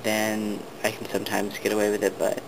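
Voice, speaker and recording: A man's voice: lowish voice, a little fast, gravelly. A young man talking casually to the camera, hesitant and full of ums and restarts. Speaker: vlogger. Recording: steady background noise.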